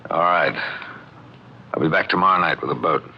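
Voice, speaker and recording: An actor playing a cool, wary murderer in an old radio suspense drama, speaking in a terse, guarded way. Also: male voice, extremely low pitch, a little fast, a little hiss or hum. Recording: muffled old radio recording with loud music.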